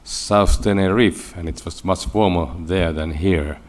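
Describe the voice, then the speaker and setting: Finnish guy w accent giving a medical conference talk, low voice, halting and repeats words a lot. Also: steady tone. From Finland. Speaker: medical researcher giving a lecture. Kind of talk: scientific conference presentation.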